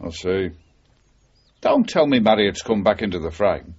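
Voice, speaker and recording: Ex-policeman: cynical and wary, breathy husky tone, wry radio-drama delivery, slightly muffled broadcast. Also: fairly low pitch, very animated, unhurried, darkish tone, exaggerated voice. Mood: guarded. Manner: guarded, wry. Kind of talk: 1980s radio drama acting.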